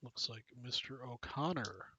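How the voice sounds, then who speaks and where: A man's voice: medium pitch, animated, a little slow, sharp tone, slightly rough, dry recording. A man hosting a live card break stream, chatting casually and joking with viewers while reading out cards and names. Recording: dull lo-fi stream audio.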